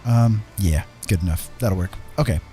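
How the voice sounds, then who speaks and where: A man's voice: sits low, normal speed, warm tone, faint music behind. A middle-aged man casually commentating as he plays a game live, friendly and rambling, often talking to himself. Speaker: game streamer.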